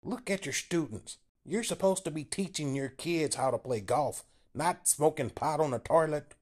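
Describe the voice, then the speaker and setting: A man voicing a short, timid old man who is angry and scolding, pushing his voice up high and strained. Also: sing-song, moderate pace.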